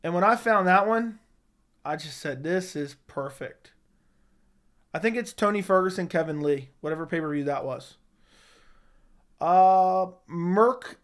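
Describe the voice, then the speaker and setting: A slightly hoarse young man hosting a casual live chat, answering viewers with blunt opinions. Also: high-pitched, measured pace, pauses often. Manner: opinionated, talks straight to chat. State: slightly hoarse, losing his voice. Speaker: livestream host answering chat.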